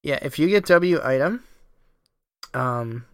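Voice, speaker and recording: A man's voice: on the high side, expressive, slow pace, smooth, no room sound. A man co-hosting a gaming podcast, chatting with enthusiasm and swearing casually. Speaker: podcast co-host.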